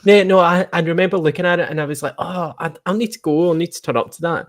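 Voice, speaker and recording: A Scottish man hosting a casual live chat in an excitable, chatty manner. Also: on the high side, quick pace, no room sound, studio quality. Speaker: podcast host and audio drama producer.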